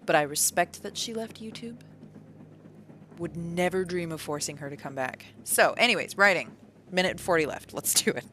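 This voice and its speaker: Low-voiced woman in her thirties streaming. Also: normal speed, bright, forceful tone, slight background noise. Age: 30s. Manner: rambling, self-amused, laughs often. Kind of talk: casual live-stream chat.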